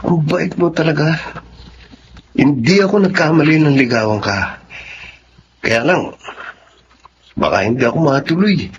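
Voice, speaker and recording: A Filipino actor playing a sheepish, ingratiating young boyfriend in a radio drama, hesitant and flattering. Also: male voice, a little high, measured pace, pauses often, lightly acted. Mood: grateful. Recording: dull lo-fi audio, faint noise.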